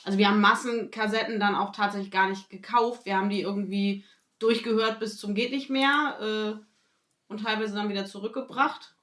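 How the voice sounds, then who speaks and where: A woman's voice: mid-range voice, steady tone, normal speed, fairly bright tone. A middle-aged woman talking casually and nostalgically among friends on a podcast. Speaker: podcast host. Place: echoey room. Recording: echoey room sound.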